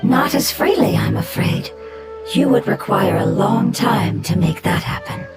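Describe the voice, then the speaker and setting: An ancient, caring fungus creature, speaking in a slow, breathy whisper with a lilting, lively pitch. Loud game music fills the background. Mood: serene. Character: ancient fungus-like nature being, calm and caring. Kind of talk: video game voice acting.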